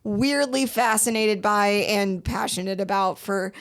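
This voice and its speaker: A woman's voice: mid-range voice, measured pace, clean tone. A woman in her thirties from Chicago, hosting a podcast in casual, playful chat and laughing often. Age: 30s. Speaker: podcast host.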